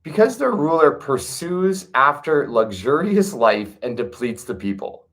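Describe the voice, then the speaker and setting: Slow, lively-pitched male reader. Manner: measured, pausing, adds casual commentary. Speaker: reader of a classic text. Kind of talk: slow reflective reading with asides.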